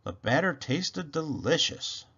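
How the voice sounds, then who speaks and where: A man's voice: fairly high pitch, lively intonation, slow, a bit bright, slightly rough. A man narrates a children's storybook with lots of melodic movement. He takes his time over each sentence in a mid-range voice, and the recording is a little muffled. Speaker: storybook read-aloud narrator.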